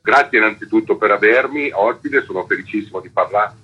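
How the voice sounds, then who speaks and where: A man's voice: fairly low pitch, a little fast, highs cut off, slight background noise. A man speaking as an author and interview guest, giving explanatory answers over a radio phone link.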